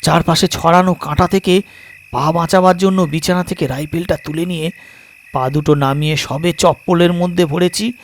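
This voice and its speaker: A man narrating a scripted suspense story dramatically, in measured short phrases with pauses. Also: on the high side, fairly even intonation, a little hiss or hum. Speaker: storyteller, narrator of audio stories.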